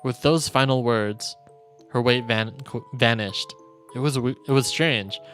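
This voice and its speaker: A male streamer reading visual novel narration aloud in a solemn, deliberate storytelling manner. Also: mid-range voice, fairly bright tone. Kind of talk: dramatic reading of game narration. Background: game music.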